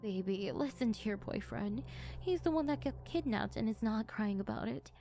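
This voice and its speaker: Casting: actress as yandere mom, lower than her daughter, doting, manipulative, wounded, for anime-style roleplay. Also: animated, moderate pace, warm tone, faint music behind, close to an everyday voice. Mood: sweetly teasing. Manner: coaxing, wounded, self-justifying. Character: doting, manipulative yandere mother, sweetly unhinged.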